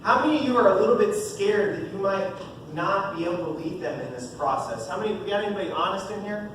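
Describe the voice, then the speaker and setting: A booming, high-pitched man in his thirties speaks as a parish youth minister, joking and upbeat with the audience. His voice comes from well away from the microphone and rings around a very echoey room. A steady noise sits under it. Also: quick pace. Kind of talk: parish talk to parents. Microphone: no mic, heard from far off. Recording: echoey room from far off, noisy.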